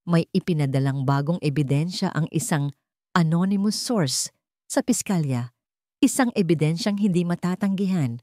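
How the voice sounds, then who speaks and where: A woman's voice: lowish voice, expressive, normal speed, mellow, no echo, clean studio sound, close to an everyday voice. A woman voicing a worried male lawyer with a grave, urgent manner in an audio love story.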